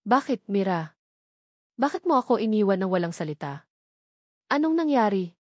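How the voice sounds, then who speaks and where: A woman's voice: mid-range voice, sing-song, normal speed, dry recording. A woman narrator acts out a heartbroken man in an audio love story. He pleads with raw emotion for his lost love, in a dry, close recording. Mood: anguished.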